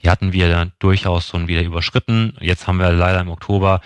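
A man's voice: low voice, flat delivery, fast, clear, resonant tone, dry recording. A man giving a wordy, explanatory analysis as an expert guest in an interview, circling back with asides. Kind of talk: analytical interview commentary on markets.

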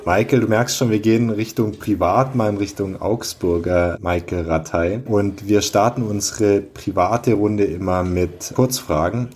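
A man's voice: on the low side, unhurried, few pauses, darkish tone, soft background music. A male host asking interview questions in a friendly, structured way.

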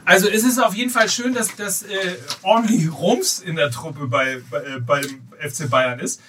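A man co-hosting a casual football podcast, excitable and stumbling into his points, recorded a little away from the mic. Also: sits high, normal speed. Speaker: podcast co-host. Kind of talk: casual football talk, bantering. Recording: distant mic with faint background noise.

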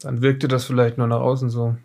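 A man acting as an evasive male witness in an audio drama, his voice creaky with fry. He talks casually and haltingly, with a slightly defensive edge. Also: medium pitch, completely flat delivery, darkish tone, close to an everyday voice. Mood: reflective. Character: evasive male witness recounting a mysterious investigation. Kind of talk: improvised audio drama, conversational testimony.

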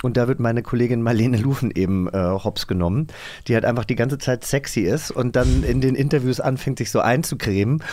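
A man with a German accent hosts a casual interview in a medium-pitched voice. The sound is dry and close, with an easy conversational flow of remarks and questions. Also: a little fast, darkish tone, no echo. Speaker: podcast host, interviewer. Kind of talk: casual chat.

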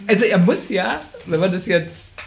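A man's voice: sits high, expressive, normal speed, vocal fry. A man in a casual review chat, co-hosting a podcast and making points emphatically, often repeating them. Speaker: podcast co-host.

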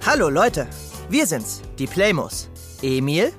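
A woman's voice: sits low, big pitch swings, takes it slowly, loud music behind the voice, full character voice. A woman voicing a friendly toy figure who leads a group in a children's audio play. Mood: cheerful greeting.

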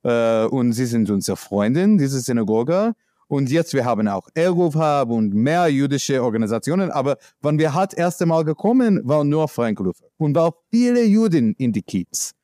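A man from the United States with an American accent, speaking in a halting, searching way with many fillers, answering as an interviewee. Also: mid-range voice, lively intonation, warm tone, no room sound, studio quality. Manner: halting non-native speech with many fillers. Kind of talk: conversational interview.

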